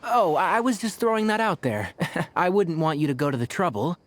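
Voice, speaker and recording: Man acting a courteous young man, lively pitch. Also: a little fast, faint music behind, natural voice. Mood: apologetic. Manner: courteous, deferential. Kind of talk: video game story dialogue.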